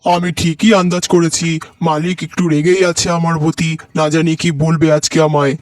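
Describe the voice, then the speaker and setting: A man's voice: fairly high pitch, level tone, brisk, darkish tone, dry recording, close to an everyday voice. A man playing an earnest, worried poor labourer and husband in a melodramatic cartoon, with a pleading manner. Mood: uneasy. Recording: music behind the voice.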